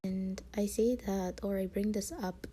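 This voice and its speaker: A woman's voice: medium pitch, fairly even intonation, measured pace, mellow, a little hiss or hum. A woman vlogger chatting casually to her viewers in a rambling, slangy way. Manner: rambling, peppered with slang and filler phrases.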